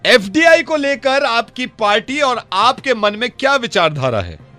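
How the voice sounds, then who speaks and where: A man's voice: very high-pitched, very animated, a little slow, bright, forceful tone, dry recording, soft background music, pitched above the performer's own voice, exaggerated voice. A man playing a formal, insistent television news interviewer in a mock live interview. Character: pushy male TV news interviewer grilling a politician.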